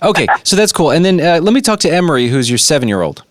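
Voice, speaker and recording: A man's voice: fairly high pitch, very animated, fast, dry recording, clean studio sound. A man hosting a radio show, chatting casually with callers in a playful, joking way. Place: radio studio. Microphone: close studio microphone.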